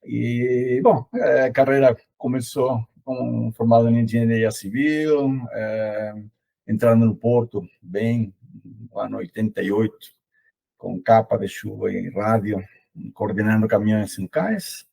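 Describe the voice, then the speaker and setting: A man's voice: lowish voice, fairly even intonation, measured pace, gravelly, warm tone, low-quality recording. A middle-aged man from Argentina with an Argentine accent, speaking Portuguese as a second language as an interview guest, hesitant with many fillers. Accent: Argentine Spanish. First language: Spanish. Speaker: interview guest, logistics consultant. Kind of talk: casual conversational interview.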